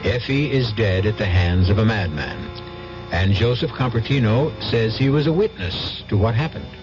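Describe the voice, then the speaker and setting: A man hosting a radio mystery drama with measured, theatrical, ominous scripted narration. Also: lowish voice, lively intonation, music in the background. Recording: dull old radio recording.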